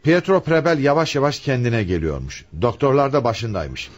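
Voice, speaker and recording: A man's voice: medium pitch, very animated, moderate pace, muffled recording. A man acting a commanding homicide commissioner in a radio play, with an emphatic, questioning, theatrical delivery. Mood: probing, businesslike.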